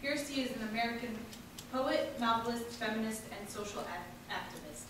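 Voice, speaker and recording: A woman's voice: a little high, expressive, moderate pace. A woman reading a scripted introduction as an event presenter, far from the microphone in an echoey hall. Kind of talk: scripted introduction read aloud. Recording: very echoey distant hall, clear noise.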